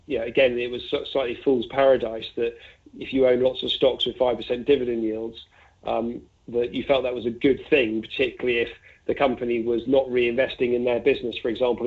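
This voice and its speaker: A man being interviewed as an investment fund manager, talking conversationally and thinking aloud with asides and examples over a phone-like line. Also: medium pitch. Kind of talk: conversational market commentary. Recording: narrow, tinny phone-like line.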